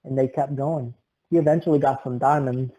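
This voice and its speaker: A man's voice: medium pitch, steady tone, fairly quick, warm tone, low-quality recording. A young man hosting a podcast, telling exaggerated comic stories. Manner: exaggerated tall-tale telling. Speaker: podcast host. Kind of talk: comic storytelling.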